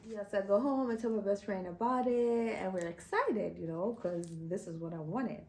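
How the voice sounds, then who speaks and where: A young woman talks straight to camera in a loose, chatty way, leaning on "you know" and laughing between her words. Her pitch sits in the middle of the range and carries an easy conversational rhythm. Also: normal speed, darkish tone. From Kenya. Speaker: vlogger. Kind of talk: casual storytelling to camera.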